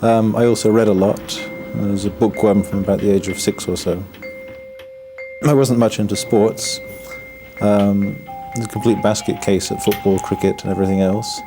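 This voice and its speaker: A Buddhist monk, a man from the north of England, talks in a low, unhurried voice about his life. He is reflective and halting, restarting words often and slipping in dry humor. Clear music plays behind him. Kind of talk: documentary interview. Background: background music.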